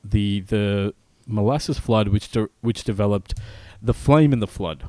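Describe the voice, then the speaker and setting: A man co-hosting a gaming news podcast, reading out stories in a casual, halting way with many fillers and restarts. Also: lowish voice, mellow, no room sound. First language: not Polish. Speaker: podcast co-host.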